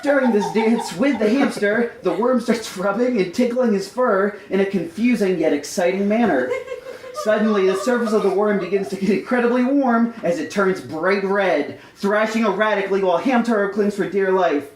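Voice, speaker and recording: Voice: medium pitch, normal speed, a little hiss or hum. A comedy storyteller reading a scripted tale live in an amused, mock-formal storybook manner. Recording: echoey live room.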